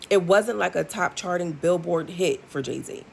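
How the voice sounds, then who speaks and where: A woman's voice: lowish voice, measured pace, creaky, slight background noise. A woman in her thirties giving blunt, sassy music commentary for her video channel, with lots of ums and asides. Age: 30s. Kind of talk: casual opinion commentary.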